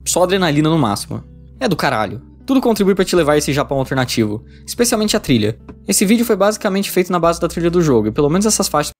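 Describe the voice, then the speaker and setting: A man's voice: a little high, big pitch swings, very fast. A Brazilian man narrating a scripted review video as a game critic, in a conversational register. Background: background music.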